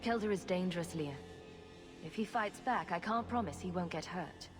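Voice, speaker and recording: A woman's voice: lowish voice, normal speed, natural voice. Woman playing a bold, sardonic adventurer, music behind. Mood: concerned. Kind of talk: dramatic video game acting.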